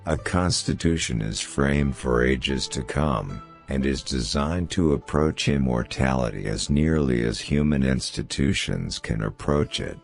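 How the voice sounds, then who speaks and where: A man reads famous quotations as a scripted narration, in a measured, formal manner. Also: a bass voice, lively intonation, creaky. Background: background music.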